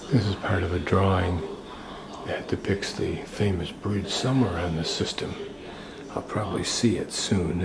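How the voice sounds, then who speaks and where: Low-voiced older man touring a garden. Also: vocal fry, poor audio. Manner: musing, thinking aloud, curious. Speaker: travel vlogger. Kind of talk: walking tour narration. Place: outdoors in a city garden and a small indoor museum.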